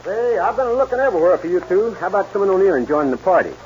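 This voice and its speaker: A bold, mannerly young ranch hand in a western radio drama. He talks fast, on a dull, faintly noisy old recording. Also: high-pitched, lively intonation, a little mellow. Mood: friendly. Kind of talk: 1940s radio western acting.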